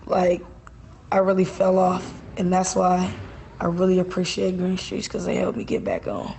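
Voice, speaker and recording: A woman's voice: on the low side, moderate pace, creaky, mellow, a little hiss or hum. A woman tells her own story casually in a documentary interview.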